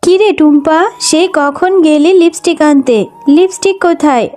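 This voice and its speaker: Mother fox acted by a woman, cartoon, high pitch, mellow tone, motherly, nagging, hard-working. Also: moderate pace, soft background music, natural voice. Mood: scolding. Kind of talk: children's cartoon voice acting.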